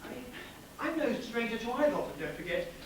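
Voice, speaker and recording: A man's voice: extremely high pitch, very animated, fast. A man playing an urbane, scheming lover, arch and slightly anxious, in theatrical crime drama dialogue. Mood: conspiratorial. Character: scheming, theatrical male lover plotting a murder. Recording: echoey, distant, noisy stage-like recording.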